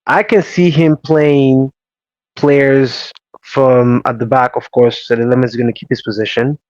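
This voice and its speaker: A man hosting a casual football show, explaining tactics in a measured, emphatic way. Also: mid-range voice, steady tone, darkish tone, no room sound. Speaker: host. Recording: slightly dull dry audio.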